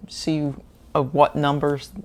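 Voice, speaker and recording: Lady unboxing books, low slightly gravelly voice, slow and rambly. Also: flat delivery. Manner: unhurried, rambling with fillers and small laughs. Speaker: book channel host. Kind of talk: casual unboxing chat.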